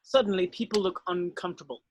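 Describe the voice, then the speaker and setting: A woman's voice: lowish voice, steady tone, fast talker, a little hiss or hum. A woman narrating stage directions from a script.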